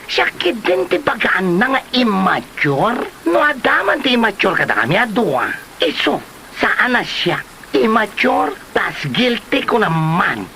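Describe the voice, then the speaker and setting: A man acts out a brash, gossipy Ilocano Filipino quarreler in a comedy drama. The voice is high and piercing, with gravelly creak at the edges. Delivery is drawn-out, exclamatory and taunting, over faint background noise. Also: big pitch swings, bright, forceful tone, full character voice. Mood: indignant. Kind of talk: amateur comedy drama acting.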